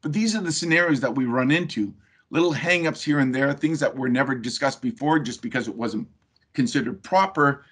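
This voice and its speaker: Man, husky voice, video call webinar, chatty storytelling, lots of ums. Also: medium pitch, a little fast, a bit bright. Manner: conversational storytelling with frequent fillers. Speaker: webinar presenter. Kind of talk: webinar talk.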